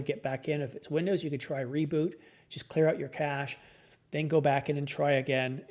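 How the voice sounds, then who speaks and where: A man's voice: a little high, a little mellow. Worn-out guy on a late night live stream, chatty, answering comments, voice fading. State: tired, losing his voice.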